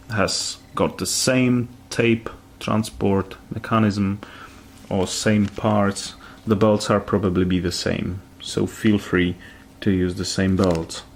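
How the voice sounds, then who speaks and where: A man giving a careful, step-by-step hands-on repair tutorial in halting phrases. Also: fairly low pitch, steady tone, a little hiss or hum.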